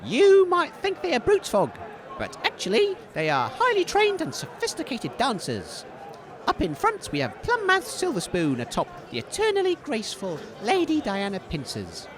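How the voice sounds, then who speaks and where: A man playing an excitable, easily flustered radio sports commentator in a comedy, with a gushing, theatrical delivery. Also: very high-pitched, moderate pace, heavily acted. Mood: gushing delight. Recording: crowd noise behind the voice.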